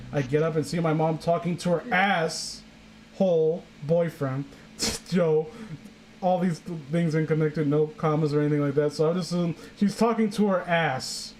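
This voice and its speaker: Higher-pitched young man, sarcastic and casual. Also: moderate pace, a little mellow, slight background noise, poor audio. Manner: sarcastic, sweary, exasperated asides. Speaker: host reading a story aloud. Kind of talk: casual comic commentary while reading fan fiction.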